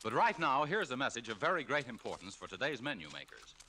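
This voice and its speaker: A man's voice: mid-range voice, sing-song, fast, pushed and bright, slight background noise. An American man announcing a sponsor message on a 1940s radio show in a polished, emphatic salesman's delivery. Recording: old radio broadcast, music behind.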